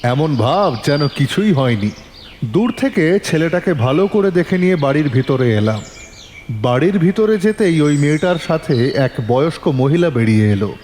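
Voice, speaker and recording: A man narrating a detective story in the first person, in a measured, suspenseful storytelling manner. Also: a little high, expressive, darkish tone, big-room sound, a little hiss or hum.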